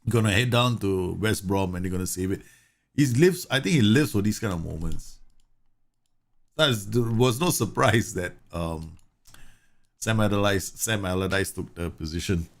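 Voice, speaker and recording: A man's voice: lowish voice, moderate pace. A man from Singapore live-streaming a football management game, chatting casually, reading game news aloud and laughing often. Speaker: gaming streamer.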